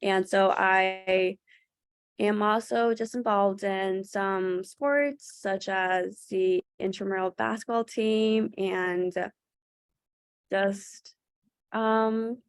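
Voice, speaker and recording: Young woman, from Thailand, student panelist, middle pitch, earnest, many fillers, dry close sound. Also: steady tone, takes it slowly, clear, resonant tone, dry recording. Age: young adult. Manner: earnest, with frequent fillers and restarts. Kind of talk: webinar panel talk. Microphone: video call.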